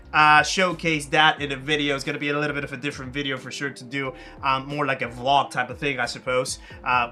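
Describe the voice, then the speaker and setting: Young guy live streaming sim racing, throat kinda scratchy, bright higher voice, answering chat. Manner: chatty, reads and answers chat aloud.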